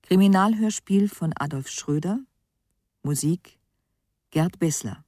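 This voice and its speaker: Female announcer, lowish voice, formal, measured, slow scripted credits, dry studio sound. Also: a little mellow, no echo. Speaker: radio announcer.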